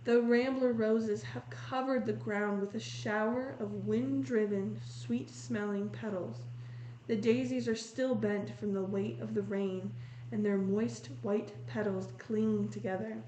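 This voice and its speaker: Slow-paced woman reading a storybook in echoey room. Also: medium pitch, steady tone, mellow. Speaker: read-aloud host. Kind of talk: storybook read-aloud with commentary on pictures. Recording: roomy echo with faint noise.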